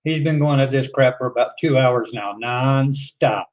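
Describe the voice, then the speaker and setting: A man's voice: medium pitch, normal speed, darkish tone. A man cutting in with sarcastic, exasperated commentary. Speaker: reaction commentator.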